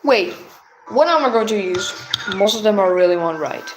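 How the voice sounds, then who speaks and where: A woman's voice: medium pitch, lively intonation, moderate pace, echoey room, music in the background. A woman from Europe chatting casually while playing games, reading on-screen messages aloud.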